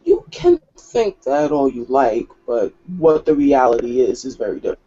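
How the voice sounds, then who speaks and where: A man's voice: medium pitch, very animated, moderate pace, mellow, slightly rough, highs cut off. A man playing a menacing, condescending werewolf elder in a drawling, taunting manner. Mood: taunting, threatening.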